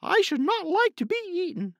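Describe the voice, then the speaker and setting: Tiny gingerbread man: piercingly high, boastful, sing-song storybook taunts. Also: big pitch swings, normal speed, no room sound, studio quality, full character voice. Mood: wary refusal.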